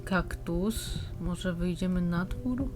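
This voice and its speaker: A woman with a slightly low voice gives casual gameplay commentary. Loud game music plays behind her as she talks. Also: takes it slowly. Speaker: let's player.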